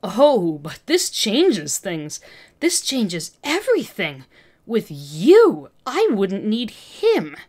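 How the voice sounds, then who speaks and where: A woman's voice: mid-range voice, huge pitch swings, drawn-out words, a little mellow, heavily acted. A woman voicing an obsessive, villainous female scientist who bellows, hisses and gloats in a dramatic story reading. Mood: awed, scheming.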